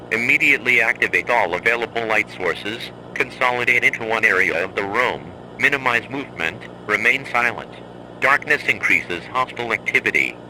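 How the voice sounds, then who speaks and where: A man playing a stern, composed emergency announcer in a horror drama, giving measured, clipped instructions as a scripted broadcast. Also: on the low side, bright, forceful tone, slight background noise, rough recording.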